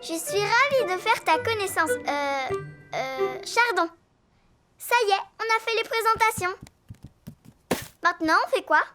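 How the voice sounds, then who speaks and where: A tiny, very high-pitched cartoon girl, full of bossy, feisty confidence. She speaks in an assertive, expressive way. Children's music plays prominently behind her. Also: a little slow, long pauses. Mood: polite, impatient. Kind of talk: French-language children's cartoon dub acting. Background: children's music and jingles.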